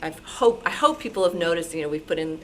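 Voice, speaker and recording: A woman with a fairly low voice talks informally to a club gathering. She is animated and slips into mimicking others' remarks, with some noise behind her. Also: quick pace, low-quality recording. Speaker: radio station programmer. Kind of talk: informal talk at a club meeting. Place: club meeting room. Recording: clear background noise, live meeting room.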